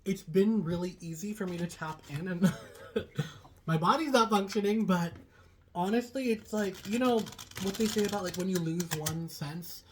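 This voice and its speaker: A young woman doing a casual, chatty tarot reading, hoarse and raspy from a cold, rambling with lots of fillers. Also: medium pitch, normal speed, a little hiss or hum. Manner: chatty, rambling, heavy use of "like". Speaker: tarot reader.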